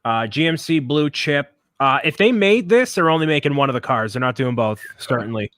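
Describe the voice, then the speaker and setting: A man's voice: mid-range voice, expressive, a little fast, a bit bright, dry recording, clean studio sound. A man co-hosting a casual chat, enthusiastic and opinionated, often repeating words as he talks. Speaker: co-host. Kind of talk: casual chat while building.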